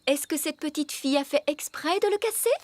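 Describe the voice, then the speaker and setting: A woman voicing a brisk, dryly polite nanny of a royal household in a cartoon dub, composed and matter-of-fact. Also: high-pitched, no room sound, natural voice. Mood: calm, questioning.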